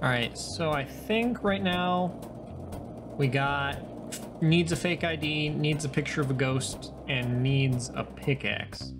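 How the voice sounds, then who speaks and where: A woman's voice: low voice, slow pace, music in the background. A woman giving casual commentary as she plays a video game. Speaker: video game player and narrator.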